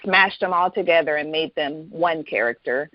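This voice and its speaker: A woman's voice: low voice, normal speed, no echo, muffled recording. A woman speaking as a guest author in a conversational, reflective way. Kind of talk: reading aloud from own novel, then interview chat.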